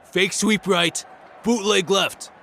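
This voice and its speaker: A man acts out a cocky teenage quarterback for an audio drama. The voice sits a little high and takes its time. He swaggers through his lines, with faint noise behind. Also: fairly even intonation, slow, vocal fry, sharp tone, heavily acted. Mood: cocky, determined.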